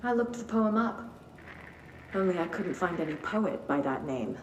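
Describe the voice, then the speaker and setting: A woman playing a calm, detached psychiatrist in a game, asking measured, probing questions. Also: fairly low pitch, natural voice. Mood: composed, probing. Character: calm, clinical female psychiatrist questioning a patient. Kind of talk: video game cutscene acting. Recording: roomy, distant, noisy.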